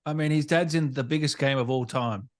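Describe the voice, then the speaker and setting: A man's voice: on the high side, a little fast. A man interviewing a guest in a casual, conversational way.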